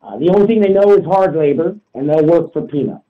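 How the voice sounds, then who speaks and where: A man's voice: a little high, lively intonation, quick pace. A man in casual opinionated discussion, talking bluntly and insistently, with profanity, on a dull lo-fi line. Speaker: talk show participant.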